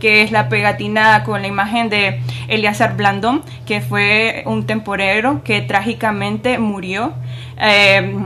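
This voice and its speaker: A woman speaking earnestly on a radio roundtable, halting and restarting often. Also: medium pitch, bright, forceful tone, a little hiss or hum. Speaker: roundtable participant. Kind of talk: casual radio roundtable talk.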